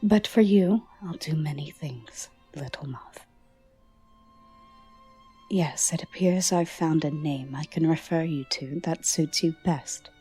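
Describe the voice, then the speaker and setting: A woman playing a soft-spoken, alluring and guarded gothic lady in an ASMR roleplay, formal and teasing, often dropping into a whisper. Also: low voice, slightly rough, soft background music, exaggerated voice. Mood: fond, inviting. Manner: slow, formal, teasing endearments.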